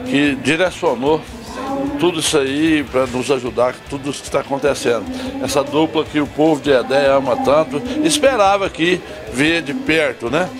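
A man's voice: medium pitch, moderate pace. Heartfelt man, music behind. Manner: heartfelt, thankful. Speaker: mayor. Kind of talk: interview.

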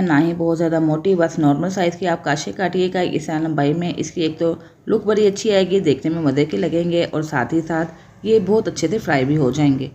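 A woman's voice: on the low side, dark tone, muffled recording. A woman with a Pakistani Urdu accent, hosting a cooking channel and patiently explaining each step of a recipe and its benefits in a voice-over. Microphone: voice-over over hands-only cooking footage.

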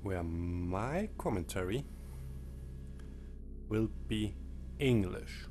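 A man whose first language is German, talking over a game menu in halting phrases that break off mid-sentence. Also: fairly low pitch, lively intonation, darkish tone. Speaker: let's play commentator. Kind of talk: game commentary with disclaimers. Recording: faint game menu music behind.

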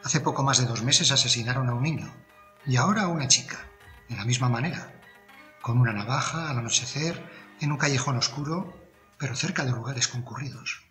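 Man acting a bright-voiced, commanding police inspector. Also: medium pitch, fairly quick, echoey room, faint music behind, natural voice. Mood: pensive. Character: tall, commanding, methodical male police inspector. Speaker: actor in an audiobook. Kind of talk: dramatised crime audiobook dialogue.

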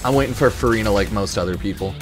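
A man hosting a reaction video, commenting live in casual, excited, slangy exclamations. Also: fairly low pitch, fast. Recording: game music behind the voice. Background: game trailer music and sound.

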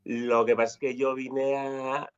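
Man, from Spain, guest on a video call, halting speech, middle pitch, dull lo-fi audio. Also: little pitch movement, smooth. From Spain, born in Zaragoza, raised in Girona. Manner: hesitant, many restarts and fillers. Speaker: guest podcaster. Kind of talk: casual chat on a video call.

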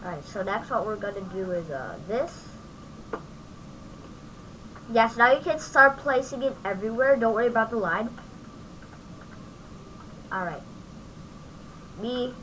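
A woman giving a casual, off-the-cuff software tutorial, thinking aloud as she demonstrates on a home microphone recording. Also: a little high, expressive, a little slow, pauses often, a little hiss or hum, poor audio. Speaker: tutorial host.

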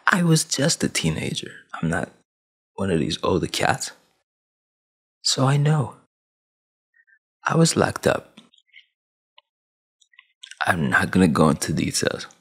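Young man, 20s, from Sudan; low husky rasp, lively swings, preachy big-bro rant on a headset mic. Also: takes it slowly, pauses often. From Sudan, grew up in Saudi Arabia. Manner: preachy big-brother tone, emphatic, with hand gestures. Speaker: vlogger. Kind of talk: motivational rant to camera. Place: small dim room at home, gaming chair behind. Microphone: headset with boom mic.

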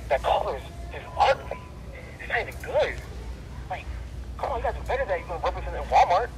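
Noisy walkie talkie, american guy rattling off blunt orders fast. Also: sits high, big pitch swings. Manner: bossy, blunt. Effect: walkie-talkie radio. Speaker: prankster posing as store boss over walkie talkie.

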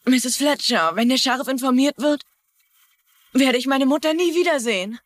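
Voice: high voice, normal speed, close to an everyday voice. An actor playing an anxious, polite young schoolboy, hesitant and earnest, in a dubbed TV drama. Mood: anxious, pleading. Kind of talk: German-dubbed TV drama acting.

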